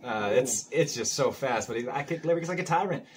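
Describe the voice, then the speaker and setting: A young man in his twenties with a Boston accent, excitedly breaking down a rap song in a casual living-room chat. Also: mid-range voice, soft background music. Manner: excited, quick running commentary. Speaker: rapper reacting to music.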